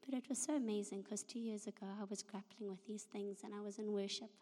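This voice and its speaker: Woman, hoarse, breathy near-whisper, halting testimony, long hall reverb. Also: mid-range voice, fairly even intonation, warm tone. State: hoarse, half-lost voice from flu. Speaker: guest speaker. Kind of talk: personal faith testimony at a church service. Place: church hall.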